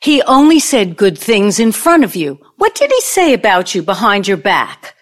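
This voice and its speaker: A woman's voice: mid-range voice, huge pitch swings. A woman in an audio play acting a vengeful, older ex-girlfriend who needles and tells her story theatrically. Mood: taunting. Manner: deliberate, taunting, storytelling delivery.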